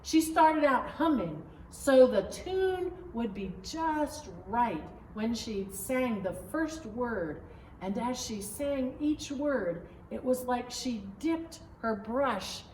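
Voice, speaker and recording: A woman voicing a gentle, playful father telling a story to his daughter, as a picture-book read-aloud. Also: a little high, expressive, slow pace. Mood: playful, patient. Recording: roomy, distant mic, faint background noise.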